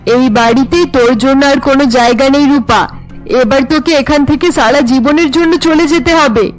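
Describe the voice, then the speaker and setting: Woman acting a high-voiced, scolding cartoon mother. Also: normal speed, soft background music, close to an everyday voice, rough recording. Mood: angry, scolding. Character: harsh, shame-obsessed middle-aged Bengali mother.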